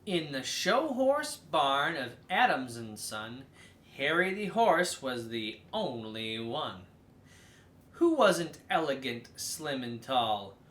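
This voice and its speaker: An expressive storybook reader hosting a read-aloud for children. The delivery is unhurried and theatrical, with a medium-pitched voice that swings widely and leans on key words. The room adds a clear echo. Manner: theatrical, slow and drawn-out with emphatic stresses.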